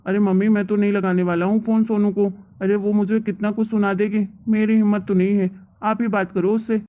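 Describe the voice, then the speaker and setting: A woman's voice: medium pitch, flat delivery, a little fast, natural voice. A woman voicing a timid, dutiful grown-up son in a cartoon family drama, speaking in a soothing, pleading way. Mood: defensive. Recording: tinny, narrow phone-like sound.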